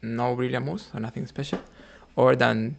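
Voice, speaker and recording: A man from Cuba, streaming his chess games and quietly thinking his moves out loud. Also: medium pitch, fairly even intonation, moderate pace, gravelly, background noise. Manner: thinking aloud, muttering moves quietly, hesitant.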